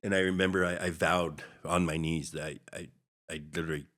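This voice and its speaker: A middle-aged man from Hawaii, a podcast guest, telling a personal story in a halting, reflective way with many restarts. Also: deep voice, flat delivery, fairly bright tone.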